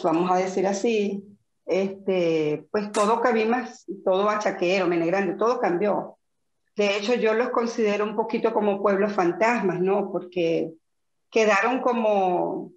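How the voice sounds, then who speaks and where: Echoey Venezuelan woman reminiscing. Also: mid-range voice. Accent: Venezuelan, from Zulia. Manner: reflective, many fillers and restarts. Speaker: interview guest. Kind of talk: conversational interview. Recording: echoey, dull lo-fi audio.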